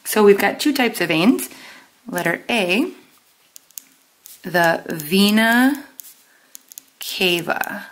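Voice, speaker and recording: A woman teaching a step-by-step lesson in a deliberate, instructional way, pausing and stressing key terms. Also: mid-range voice, expressive, low-quality recording. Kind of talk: step-by-step lesson with pauses for note-taking.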